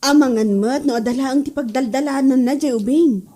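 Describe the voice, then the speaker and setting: A woman with an Ilocano accent playing a chirpy, sympathetic fellow schoolteacher in a comedy drama, animated and coaxing. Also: sits high, fairly quick. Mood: curious, coaxing.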